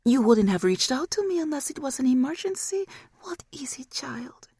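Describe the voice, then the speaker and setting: A woman's voice: sits high, sing-song, moderate pace, dark tone, slightly rough, no echo, studio quality, voice pushed up, heavily acted. A woman voicing a scheming, mocking demon mother in an audiobook.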